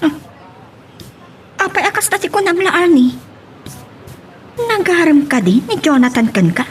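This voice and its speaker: A woman's voice: very high voice, big pitch swings, measured pace, soft background music, exaggerated voice. A woman playing a teasing young confidante in an Ilocano audio drama.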